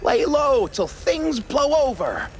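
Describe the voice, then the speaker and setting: A man voicing a cynical, guilt-ridden rich mouse in an animated noir game, with a theatrical, evasive, hesitant delivery. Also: very high-pitched, slow, slight background noise, heavily acted. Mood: defensive.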